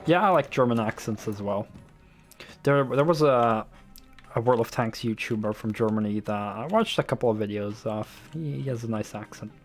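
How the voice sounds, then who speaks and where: A man's voice: on the low side, moderate pace, faint music behind. A young man from the Netherlands with a light Dutch accent, streaming a shooter game and chatting casually with his viewers in a rambling, loose way, swearing now and then. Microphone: desktop USB microphone.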